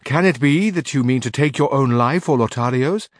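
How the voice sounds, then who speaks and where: A man's voice: medium pitch, moderate pace, no room sound, clean studio sound, voice pushed up, close to an everyday voice. A man voicing a crafty young maidservant in a storybook reading, pleading and melodramatic. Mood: urgent, pleading.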